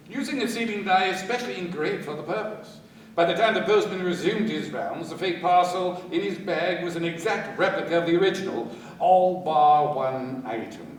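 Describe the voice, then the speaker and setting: An actor playing a composed police inspector, speaking formally with dry irony in a radio crime drama. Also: male voice, sits high. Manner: measured, formal, dryly ironic. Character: composed, dryly triumphant male police inspector. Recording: very echoey, distant, faint noise.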